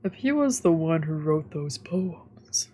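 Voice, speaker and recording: A woman's voice: sits low, expressive, slow, mellow. A woman streamer reading visual novel narration aloud, with casual asides. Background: faint music.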